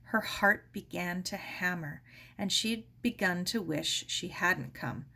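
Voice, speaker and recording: Slow-paced woman reading a story aloud. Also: on the low side, gravelly, fairly bright tone. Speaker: story reader. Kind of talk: scripted storybook narration.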